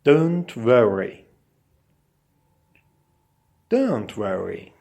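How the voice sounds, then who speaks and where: A man reading short English phrases aloud as a language teacher, saying them deliberately as separate, repeated phrases. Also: mid-range voice, very animated, creaky, warm tone.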